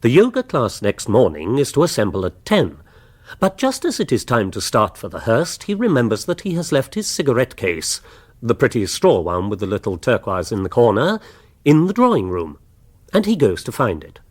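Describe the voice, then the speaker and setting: A man's voice: mid-range voice, huge pitch swings, normal speed. An actor playing an urbane author narrating his tale with a refined English accent, dry, arch and polished, in a radio drama. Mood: wry.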